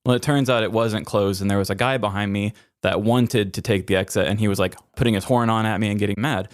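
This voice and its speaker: A man's voice: lowish voice, steady tone, fast talker, no room sound, studio quality. A man chatting casually as a podcast co-host and telling anecdotes in a conversational way.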